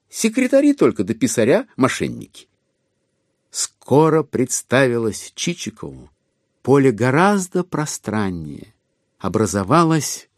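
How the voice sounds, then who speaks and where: A male narrator tells a classic story theatrically, with wry irony. His mid-pitched voice moves in lively, wide swings, with some music behind it.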